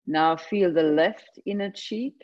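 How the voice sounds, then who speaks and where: Female meditation guide, lowish voice, very slow and gentle delivery, spacious pauses, slightly muffled recording. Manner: gentle, unhurried, short phrases with long pauses. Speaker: yoga nidra meditation guide.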